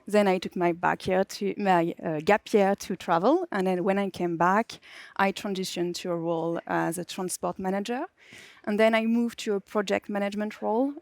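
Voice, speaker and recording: A woman's voice: mid-range voice, no echo. Panelist, woman in her thirties, matter-of-fact, dry close sound. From France. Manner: plain, matter-of-fact, some fillers. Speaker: panelist, delivery manager. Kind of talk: conference panel talk. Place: conference stage.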